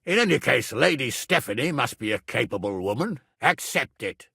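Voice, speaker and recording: A man playing a middle-aged medieval lord and guardian in a video game, blunt, commanding and earthy, with a gravelly, raspy voice. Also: medium pitch, very animated, normal speed, sharp tone, no echo, clean studio sound, heavily acted. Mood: weary, firm. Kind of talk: dramatic video game dialogue.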